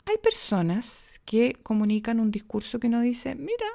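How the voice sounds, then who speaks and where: A Chilean woman teaches diction in a podcast lesson, her voice dark and mellow with a gravelly edge. She shows pauses and tempo shifts as she goes, swinging her pitch and pace to make each example. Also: very animated. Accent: Chilean Spanish. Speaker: speech and diction coach.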